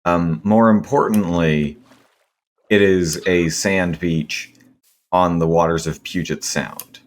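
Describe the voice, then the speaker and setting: Hoarse, low-voiced man rambling slowly. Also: steady tone, a little hiss or hum. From Seattle area, US. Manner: rambling, many ums and self-corrections. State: hoarse, sore throat. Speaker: gaming video host. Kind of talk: casual gameplay commentary.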